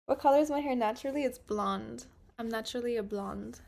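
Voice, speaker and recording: Young woman in her 20s rambling thru a makeup stream, lots of "like", voice on the higher side. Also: a little fast, creaky, a little mellow. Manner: rambling, many fillers, chatty. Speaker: livestreamer. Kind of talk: casual chat while doing makeup.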